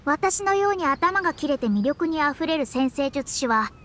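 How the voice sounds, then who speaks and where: A proud young astrologer. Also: female voice, high-pitched, expressive, normal speed, a bit bright. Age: young adult. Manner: polite formal speech, self-assured, slightly lecturing. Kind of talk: game voice acting.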